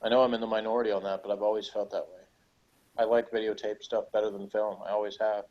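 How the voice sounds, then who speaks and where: A man's voice: on the low side, flat delivery, fast talker. A man chatting casually as a podcast reviewer, with a dry, teasing manner. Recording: dull lo-fi voice recording.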